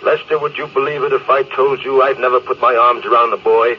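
An actor playing a doomed, reflective spaceship captain in a wistful, confessional mid-century radio drama style. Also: male voice, medium pitch, steady tone, a little fast, natural voice. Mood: bitter regret. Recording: muffled, noisy old radio recording.

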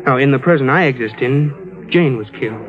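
A man's voice: medium pitch, a little fast, a crowd in the background, close to an everyday voice. A man acting in a 1950s radio drama as a stubborn, lovestruck believer, speaking sincerely and insistently. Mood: sincere, hopeful. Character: stubborn, lovestruck man convinced of parallel worlds. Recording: dull vintage radio recording with music.

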